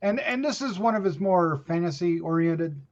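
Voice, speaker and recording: A man's voice: sits high, animated, normal speed. A man hosting a horror news podcast, presenting film news in a casual, jokey way. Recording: dull, lo-fi voice track.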